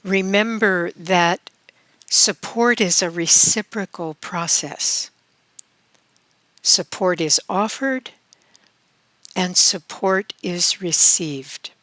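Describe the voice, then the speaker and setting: Calm woman doing a guided meditation, lowish voice, super slow w long pauses between phrases. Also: no echo.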